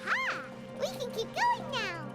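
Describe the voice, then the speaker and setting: Tiny fairy girl, squeaky, extremely high, wildly swooping intonation, excitable, video game, music behind. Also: a little slow, exaggerated voice. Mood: excited. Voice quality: squeaky, childlike. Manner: exclamatory, expressive. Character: small floating fairy companion girl, childlike, excitable, bossy-caring.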